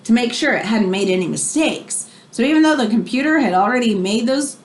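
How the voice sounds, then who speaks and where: A woman reading a children's book aloud in an expressive way, as if explaining it to young listeners. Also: a little high, brisk. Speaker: read-aloud storyteller.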